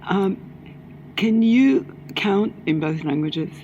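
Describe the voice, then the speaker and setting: A woman interviewer with a mid-range voice asks her questions in a measured, orderly way. The recording sounds somewhat dull, with faint noise underneath. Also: mid-range voice, lively intonation, a little mellow. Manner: measured, steers with short prompts and back-channel murmurs.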